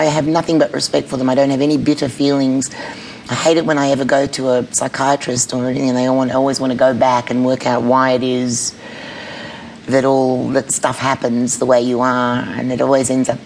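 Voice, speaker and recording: A middle-aged woman with a husky voice, speaking bluntly and candidly as an interview guest. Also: extremely low pitch, a little mellow, slight background noise, poor audio. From Australia. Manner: blunt, candid, laughs easily. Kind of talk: casual chat.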